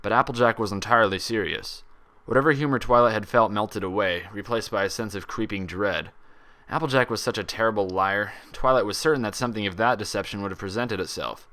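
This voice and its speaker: Man, low-pitched voice, bright forceful tone, expressive scripted narration. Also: a little fast. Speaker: fan fiction narrator.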